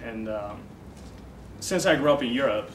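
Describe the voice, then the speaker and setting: A young man who grew up in the Netherlands, a dating coach, explaining ideas in a relaxed interview with many fillers. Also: medium pitch, normal speed. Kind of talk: casual interview talk. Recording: echoey room from far off, noisy.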